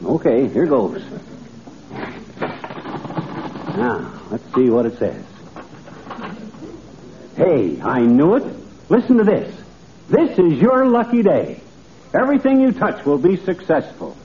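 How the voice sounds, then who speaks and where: An actor playing a cheerful, gullible middle-aged braggart in a folksy, chuckling, excitable old-time radio comedy style. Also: male voice, fairly high pitch, unhurried, long pauses. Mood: excited, boastful. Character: boastful, cheerful, gullible middle-aged small-town man. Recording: dull, noisy old radio recording.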